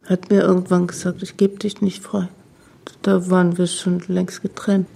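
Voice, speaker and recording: A woman recalling her personal past in an interview, halting and understated, in a reflective way. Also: on the low side, completely flat delivery, creaky, mellow, husky. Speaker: interviewee recalling a former partner.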